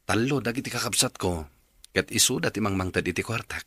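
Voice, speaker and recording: Irritable Ilocano husband, sharp animated voice. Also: male voice, on the low side, a little fast, slightly rough, dry recording, clean studio sound, exaggerated voice. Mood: grumbling. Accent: Filipino, Ilocano. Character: Jano, irritable hard-drinking husband short of money. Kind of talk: Ilocano radio drama acting.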